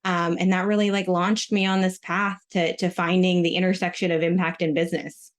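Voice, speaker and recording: A woman in her thirties talks casually as a podcast guest. Her voice sits a little low for a woman, and her speech is chatty and loose, full of ums and likes. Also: level tone, a little fast. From born in Russia, raised in Maryland. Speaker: guest, retail executive. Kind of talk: casual interview talk.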